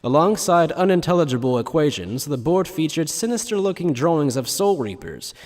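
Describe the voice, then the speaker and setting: A man narrates an anime story from a script. His voice sits a little high and carries a long, hall-like reverb. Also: very animated, moderate pace, darkish tone.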